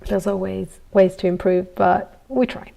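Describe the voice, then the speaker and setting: Woman, young adult, from Italy, native Italian speaker, casual workshop chat, frequent ums and small laughs, slightly gravelly voice. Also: medium pitch, fairly even intonation, unhurried, mellow. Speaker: goldsmith streaming from her workshop. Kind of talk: casual live-stream chat while working.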